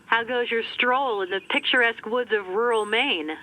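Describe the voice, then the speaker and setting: A woman's voice: a little high, moderate pace, natural voice. An actress playing a friendly, caring female office colleague in a video game, chatty and familiar, over a noisy call.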